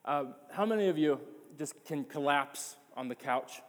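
A man's voice: on the high side, lively intonation, moderate pace, in a large hall. A man preaching a sermon as pastor, passionate and emphatic, often repeating words as he builds his point.

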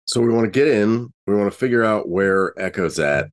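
A man's voice: lowish voice, lively intonation, fairly quick. A man playing a tabletop role-playing game, chatting casually and thinking aloud as he plans his moves.

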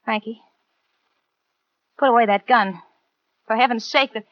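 A woman's voice: on the high side, measured pace, no echo, close to an everyday voice. An actress in a 1940s radio crime drama playing a clingy, devoted American gangster's girlfriend with slangy talk. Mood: pleading. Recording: muffled, dry 1940s radio broadcast.

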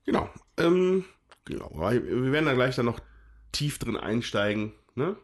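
Stuffy-nosed man, lively rambling co-host. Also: medium pitch, measured pace. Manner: rambling, self-correcting, chuckling. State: stuffy nose from a cold. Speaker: podcast co-host. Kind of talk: casual chat about board games.

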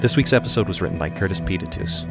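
Low-voiced man announcing over loud music. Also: quick pace, creaky. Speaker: announcer and sound designer. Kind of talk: scripted credits narration.

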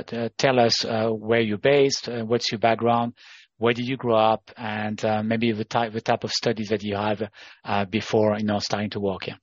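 A man's voice: medium pitch, dry recording. Hesitant male interview host. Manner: hesitant, heavy on filler phrases. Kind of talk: podcast interview hosting. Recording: dull lo-fi sound.

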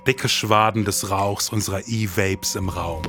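A man's voice: lowish voice, fairly even intonation, a little slow, music in the background. A man hosting and narrating a podcast in a dramatic, brooding, hard-boiled detective manner. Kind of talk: scripted noir detective narration.

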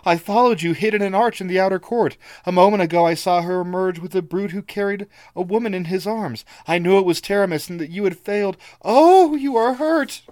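A man's voice: high-pitched, fairly quick, hardly any pauses, a little mellow, no room sound, voice pushed up, close to an everyday voice. A man voicing a frightened, devoted young woman who pleads breathlessly. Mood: pleading.

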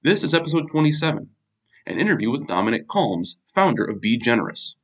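A man's voice: mid-range voice, sing-song, slightly rough. A man hosting a podcast, reading a scripted intro and then chatting with his guest. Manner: brisk scripted intro, then friendly interjections. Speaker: podcast host. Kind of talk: podcast interview.

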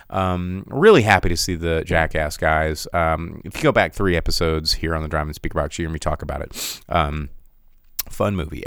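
Chatty man with a smooth low voice. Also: fairly bright tone, no echo. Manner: chatty and rambling, with many fillers and restarts. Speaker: podcast host. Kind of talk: casual movie box office rundown.

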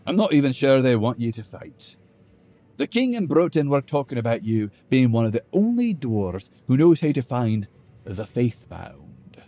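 A grave, dry-humored dwarf companion, as a man puts him on. He coaxes patiently and keeps his voice steady. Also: medium pitch, moderate pace, voice pushed up. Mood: coaxing. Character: calm, persuasive male dwarf companion.

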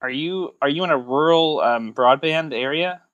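A man's voice: a little high, normal speed, a bit bright, no echo, highs cut off. Sarcastic man with a dry, close sound. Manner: sarcastic, teasing, mock-grandiose. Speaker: podcast co-host. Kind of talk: casual joking podcast chat. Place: recorded remotely over a voice chat app.

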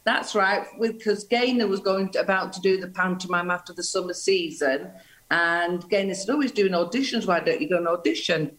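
A woman's voice: on the low side, a little fast, a bit bright, rough recording. Woman, middle-aged, guest, reminiscing, casual chat. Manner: chatty, reminiscing. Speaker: guest, former dancer.

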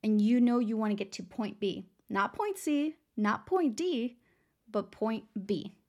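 A young woman from Texas hosts her motivational podcast with a pitch a little above the usual for a woman. Her voice is raspy and worn today. She keeps it chatty and upbeat, calling her listeners girl and friend. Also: measured pace, darkish tone. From San Antonio, Texas. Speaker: podcast host. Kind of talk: casual motivational podcast talk.

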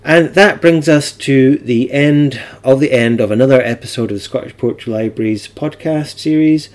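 A man's voice: medium pitch, normal speed, a little mellow. A man hosting a podcast, talking informally in a wry, playful and chatty way. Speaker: podcast host.

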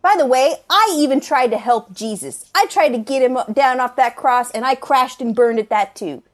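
A woman playing an unimpressed slacker student of magic, griping in halting, slangy speech in an improvised comedy sketch. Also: sits high, close to an everyday voice. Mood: sardonic, griping.

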